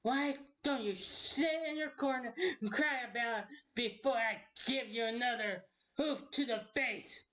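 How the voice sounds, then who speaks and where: A woman's voice: a little high, measured pace, a bit bright, voice pushed up. A woman voicing a cruel, scornful unicorn parent who berates a child with harsh contempt in an amateur cartoon style. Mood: contemptuous. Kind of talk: amateur cartoon villain voice acting.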